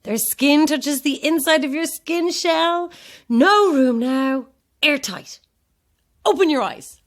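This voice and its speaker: Audio drama: nervy, self-deprecating actress, unhurried, animated pitch, wry and theatrical. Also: female voice. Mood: slow, hypnotic. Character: anxious, self-deprecating actress hired for an odd job. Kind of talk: audio horror-comedy drama acting.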